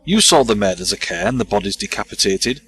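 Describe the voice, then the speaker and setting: An actor playing a sharp-minded male investigator of demons in an audio drama, lively and explaining. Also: lowish voice, fairly quick, faint music behind, full character voice, rough recording.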